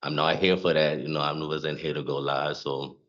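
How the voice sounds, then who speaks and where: A man from South Carolina with a Gullah Geechee accent, chatting as a guest in a laid-back, halting way. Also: rumbling low, flat delivery, a bit bright, muffled recording. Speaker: guest streamer and cook. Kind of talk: casual interview chat.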